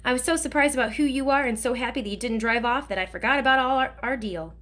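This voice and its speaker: A chatty old man from a storybook, kind and full of jokes, who rambles on in a folksy way. A woman acts him out, with his voice set fairly high. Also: fast, fairly bright tone, natural voice. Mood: teasing. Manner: joking, folksy, talkative. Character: teasing kindly older male driver.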